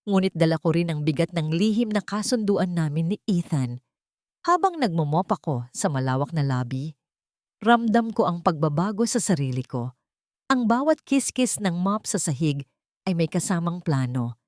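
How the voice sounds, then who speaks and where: A woman narrating a scripted first-person drama in a measured, emotive way. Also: on the low side, a little mellow, no echo, studio quality. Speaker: narrator of audio love story.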